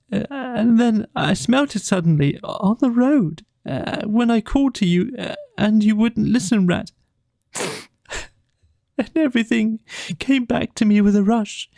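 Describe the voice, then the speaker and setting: Man acting a high, tearful little mole. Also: creaky, a little mellow, dry recording, studio quality, full character voice. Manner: stammering, broken speech with gulps. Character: small male mole, gentle, homesick, sobbing and heartbroken.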